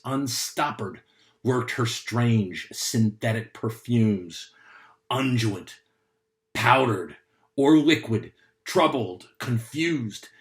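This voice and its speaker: A man giving a dramatic poetry recitation, reading in a deliberate, theatrical manner. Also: medium pitch. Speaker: poetry reader, host.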